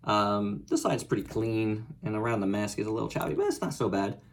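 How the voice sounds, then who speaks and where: A man hosting a casual, unscripted toy review, thinking aloud in short, halting phrases. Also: lowish voice, expressive, creaky. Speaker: toy reviewer and channel host. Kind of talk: casual, unscripted hands-on product review commentary.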